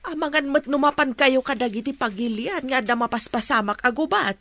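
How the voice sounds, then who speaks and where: Anxious, high-voiced mother. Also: fairly quick, a bit bright, no room sound. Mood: worried. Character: anxious, loving mother of a grown sailor son. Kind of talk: radio drama acting.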